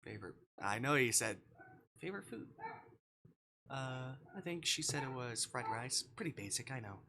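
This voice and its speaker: A man with a bright, crisp-edged voice streams a visual novel in a casual way. He reads dialogue aloud and drops offhand asides in between. Some noise sits behind his voice. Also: mid-range voice, expressive, normal speed. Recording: clear background noise.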